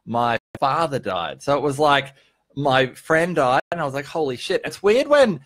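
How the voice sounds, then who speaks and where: A man's voice: on the high side, sing-song, normal speed, a bit bright, no echo. A man in his early thirties chatting casually and earnestly on a livestream, speaking informally with some swearing and emphatic points. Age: early 30s. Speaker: vlogger hosting a live stream. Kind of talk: casual motivational livestream chat, answering viewers.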